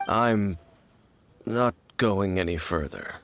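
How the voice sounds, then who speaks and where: A man playing an aloof, guarded warrior in a video game, grave and world-weary, with measured, formal phrasing. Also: low voice, background noise. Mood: aloof, serious. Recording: background music under the voice.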